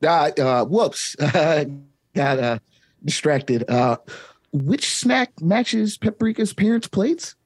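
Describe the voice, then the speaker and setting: A woman's voice: deep voice, expressive, slow pace, darkish tone, husky, dry recording, clean studio sound, full character voice. A woman reading the part of a cheerful male kids' TV host who asks viewers questions. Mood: upbeat. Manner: upbeat and encouraging, asks the kids questions. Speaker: table read player.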